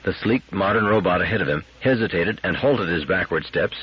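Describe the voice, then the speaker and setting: A man with a husky voice giving a scripted narration of a science fiction story as an audiobook reader. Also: sits low, animated, fairly quick, lo-fi sound.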